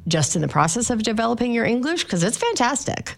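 A woman's voice: fairly high pitch, very animated, quick pace, gravelly. A Canadian woman working as a realtor, interviewing a guest in a chatty, encouraging way. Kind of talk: conversational interview.

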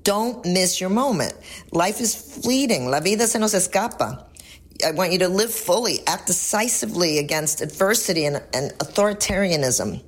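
A woman's voice: lowish voice, lively intonation, moderate pace. A woman from Ecuador, a native Spanish speaker, introducing her song in an earnest, rousing way. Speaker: musician guest.

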